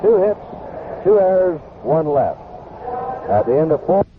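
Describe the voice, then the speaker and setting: A man's voice: on the high side, big pitch swings, unhurried. An American man calling baseball play-by-play as a live radio announcer. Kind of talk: live radio sports commentary. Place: ballpark broadcast booth. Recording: noisy, tinny 1970s AM radio broadcast.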